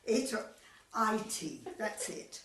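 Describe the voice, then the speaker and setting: A woman's voice: medium pitch, sing-song, slow pace, a bit bright, recorded from a distance. A woman playing a sweet, scatty elderly widow in an amateur radio comedy, with a fussy, rambling manner. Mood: flustered, earnest. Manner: fussy, flustered, innocently rambling. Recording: echoey room, off the mic.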